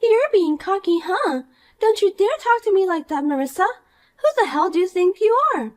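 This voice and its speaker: A woman's voice: very high voice, lively intonation, normal speed, voice pushed up. A woman voicing a vain, spiteful young woman who was once the most popular girl at school, talking down to an old classmate. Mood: scornful. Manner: condescending, insulting, bossy. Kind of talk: animated story dialogue.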